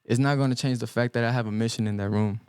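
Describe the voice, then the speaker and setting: A young man born in El Salvador and raised in the US Midwest, a guest musician giving earnest, halting answers on a podcast, full of ums and restarts. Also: medium pitch, steady tone, a little mellow, smooth, no room sound, studio quality. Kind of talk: reflective podcast interview answers. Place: podcast studio.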